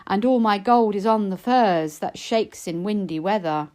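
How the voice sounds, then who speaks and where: A woman's voice: medium pitch, a little slow, darkish tone, natural voice. A curious, sweet-toothed maiden from a storybook poem, played by a woman. She is easily tempted and speaks with eager wonder. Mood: hasty, pleading.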